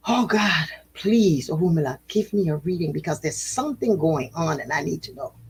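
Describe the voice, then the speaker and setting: A woman voicing a desperate pleading man, quiet. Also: low voice, expressive, moderate pace, breathy edge, full character voice. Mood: anxious. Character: desperate grown man, self-righteous and anxious.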